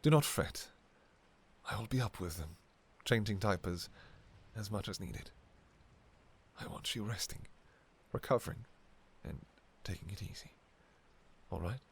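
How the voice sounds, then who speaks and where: An ancient vampire king voiced by a man, tender and devoted to his family. He speaks very slowly in a lowish voice, soft and halting, full of endearments, as in an intimate romantic audio roleplay. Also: creaky, breathy edge, full character voice. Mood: soothing. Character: tender, devoted two-thousand-year-old vampire king, doting father.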